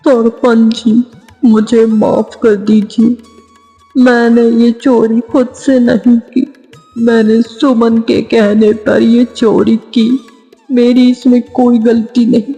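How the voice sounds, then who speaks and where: A man's voice: very high-pitched, level tone, slow, dark tone, heavily acted. A man playing a mercenary village thief in a Hindi moral-story cartoon. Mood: pleading. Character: mercenary male village thief, whining when caught. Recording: long reverb, music behind.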